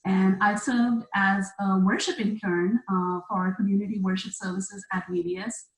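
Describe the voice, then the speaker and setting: A woman's voice: mid-range voice, flat delivery, moderate pace, reverberant, faint music behind, low-quality recording. A woman divinity student introducing herself politely on an online panel, with frequent fillers.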